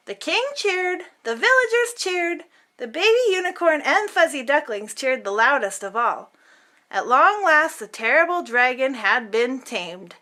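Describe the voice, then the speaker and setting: A woman's voice: high voice, big pitch swings, unhurried, pushed and bright. A woman reading a children's picture book aloud as host, theatrically, drawing out words for emphasis.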